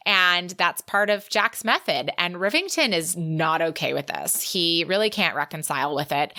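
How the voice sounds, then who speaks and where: Lower-pitched, bright-voiced woman podcaster. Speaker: podcast co-host. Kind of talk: book discussion with plot recap and read quotes.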